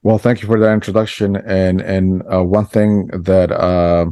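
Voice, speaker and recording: Low-voiced halting male host. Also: flat delivery, warm tone, clean tone, no room sound, clean studio sound. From United States. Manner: halting, many fillers. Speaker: interviewer, host. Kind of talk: interview hosting.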